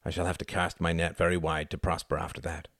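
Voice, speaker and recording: A man reading as a stern wealthy father delivering bad news gravely. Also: deep voice, little pitch movement, fairly bright tone, no room sound, clean studio sound. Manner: grave, heavy, measured.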